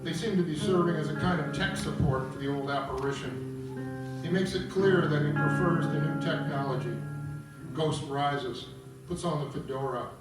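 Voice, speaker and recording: A man's voice: fairly high pitch, moderate pace. Man narrating in a very echoey room. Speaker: narrator at an opera table read. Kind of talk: reading stage directions and explaining to audience. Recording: very echoey room, distant mic, music.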